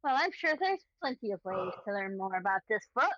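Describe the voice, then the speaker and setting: A woman playing a tabletop roleplaying game, speaking in a measured, wry way. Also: medium pitch, big pitch swings, fairly bright tone, no echo, dull audio.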